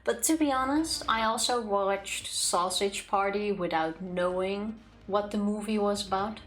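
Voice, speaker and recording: A woman's voice: mid-range voice, measured pace, low-quality recording. Girl streamer chatting casually, blunt, dry jokes, music in the background. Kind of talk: casual chat before a game stream. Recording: music playing behind the voice.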